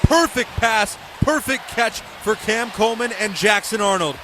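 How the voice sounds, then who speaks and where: A male American color analyst with a fairly high voice comments on live football for radio. He is emphatic and opinionated about the play. Strong outdoor stadium noise sits behind his voice. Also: normal speed.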